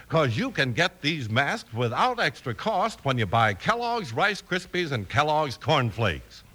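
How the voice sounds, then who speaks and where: A man's voice: medium pitch, bright, forceful tone. An American man announcing in an emphatic, theatrical, scripted 1950s radio style. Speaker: announcer and narrator.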